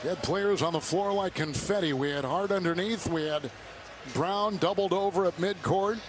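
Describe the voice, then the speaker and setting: A man's voice: high-pitched, normal speed. A man calling live basketball play by play on a broadcast, with colourful, dramatic phrasing. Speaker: play-by-play announcer.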